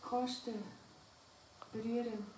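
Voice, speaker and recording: A woman interpreting a spiritual class phrase by phrase, halting at times as she searches for words. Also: on the high side, little pitch movement, darkish tone, low-quality recording.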